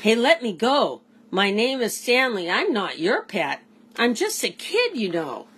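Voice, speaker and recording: A woman's voice: a little high, very animated, unhurried, fairly bright tone, husky, pitched above the performer's own voice, exaggerated voice. A woman voicing a bored, defiant young boy in a children's storybook. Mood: indignant. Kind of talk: children's storybook read-aloud.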